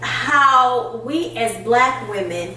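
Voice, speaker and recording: A woman's voice: fairly high pitch, very slow, roomy sound, recorded from a distance, a little hiss or hum, poor audio. A middle-aged woman hosting a casual chat, telling stories with plenty of laughter. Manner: animated storytelling, laughs often. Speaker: podcast host.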